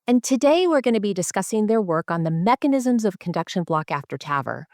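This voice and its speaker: A woman's voice: medium pitch, big pitch swings, a little fast, a little mellow, dry recording, clean studio sound. A woman hosting a medical podcast interview, introducing guests and putting questions to them. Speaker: podcast host, medical journal editor.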